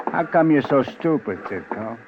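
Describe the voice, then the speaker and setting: A thin, noisy old radio recording of a man acting a hard-boiled detective. He needles and sneers in a medium-pitched voice, sarcastic and mocking in 1950s crime-drama style. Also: lively intonation, moderate pace, muffled recording, close to an everyday voice.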